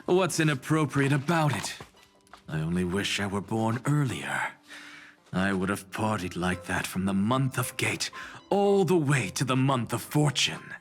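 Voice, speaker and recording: Proud, irreverent scholar for an anime game: self-assured, lively pitch, deliberate pace, faint music underneath. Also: male voice. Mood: irreverent, impassioned. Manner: theatrical, erudite, self-assured. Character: eccentric, proud male scholar, irreverent philosophical professor. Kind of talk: anime-style video game voice acting.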